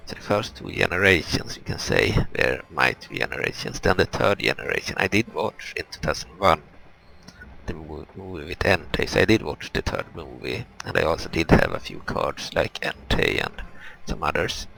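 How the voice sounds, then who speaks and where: Man, rock-bottom pitch, bright forceful edge, casual game commentary, halting, explanatory. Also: animated, creaky, low-quality recording. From Europe. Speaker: gaming video host. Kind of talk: casual let's play commentary.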